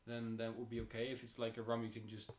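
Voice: medium pitch, completely flat delivery, fast, a little hiss or hum. A podcast co-host speaking English as a second language, chatting casually with frequent restarts and questions. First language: not English. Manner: stammering restarts, asks lots of questions. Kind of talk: casual chat.

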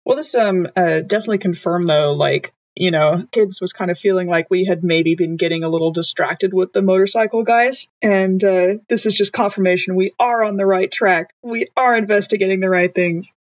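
A woman's voice: mid-range voice, fairly even intonation, moderate pace, few pauses, no echo, lo-fi sound. A woman playing a tabletop roleplaying game, talking casually with her fellow players.

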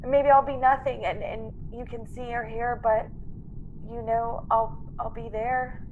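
Casting: actress as doomed young heroine, high voice, tender, halting, earnest radio melodrama, slight noise. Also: female voice, level tone, phone-quality sound, lightly acted. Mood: sorrowful farewell. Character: doomed young woman, tender, tearful, brave stowaway. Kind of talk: classic radio drama acting.

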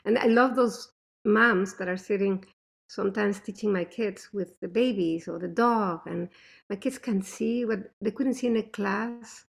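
A woman with a thick non-native accent and a gravelly, creaky voice, speaking in an interview. She tells family stories in an animated, emphatic way. Her pitch sits in a middle range for a woman. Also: a little slow. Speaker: interview guest, mother. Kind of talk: conversational interview.

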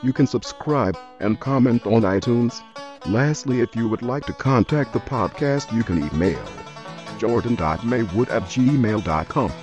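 A man reading a scripted podcast outro in a deadpan, formal manner over guitar music. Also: medium pitch, unhurried. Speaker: podcast host reading the closing plugs. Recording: dull lo-fi recording under guitar music. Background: plucked guitar music.

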